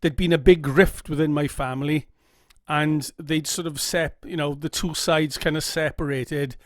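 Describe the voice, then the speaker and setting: A man's voice: a little high, steady tone, normal speed, no echo. Earnest Welsh man, dry close sound. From Wales. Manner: earnest, candid, repeats himself, checks in with "okay?". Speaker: vlogger. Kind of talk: personal story told to camera. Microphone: camera mic.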